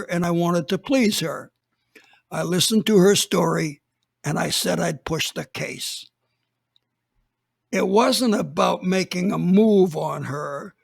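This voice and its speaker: A man's voice: high-pitched, creaky. A man reading a dramatized first-person story as a police chief, plainspoken and matter-of-fact.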